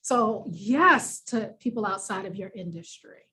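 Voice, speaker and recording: A woman's voice: medium pitch, expressive, unhurried, pushed and bright, husky. A woman with a New York accent presenting a workshop in a conversational, encouraging, explanatory way, leaning on key words for emphasis. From New York, United States. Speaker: workshop presenter, business coach.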